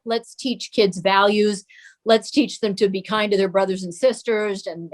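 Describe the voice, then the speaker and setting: An older woman reminiscing casually as an interview guest. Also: medium pitch.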